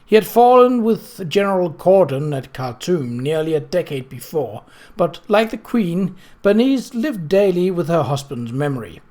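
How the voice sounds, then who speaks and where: A man reading a novel aloud as narrator, in a measured, formal storytelling manner. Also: sits high, sing-song, mellow. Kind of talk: scripted audiobook narration.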